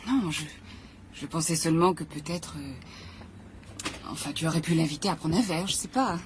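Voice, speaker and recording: A woman's voice: sits low, moderate pace, lightly acted. An actress playing an anxious, suspicious but proud wife in a French radio drama, nervous and apologetic as she corrects herself. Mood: flustered, apologetic. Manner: nervous, self-correcting, shifting from firm to faint. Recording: clearly audible background noise.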